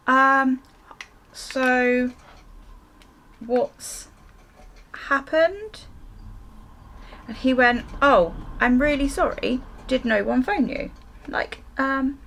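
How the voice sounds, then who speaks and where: A woman's voice: a little high, gravelly, a bit bright. A British woman vlogger chatting casually through a story, exasperated and sweary, acting out both sides of conversations. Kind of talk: casual chatty storytime while getting ready.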